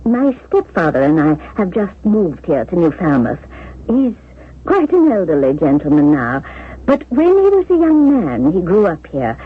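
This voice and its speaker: An actress playing a genteel, chatty, curious widow in a 1970s radio drama. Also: female voice, lowish voice, big pitch swings, normal speed, breathy edge. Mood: chatty, polite. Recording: muffled, thin vintage radio recording.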